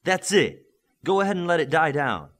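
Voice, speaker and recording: Casting: male reader as hero's mentor, instructive and commanding, lively pitch, encouraging. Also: normal speed. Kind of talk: audiobook character acting.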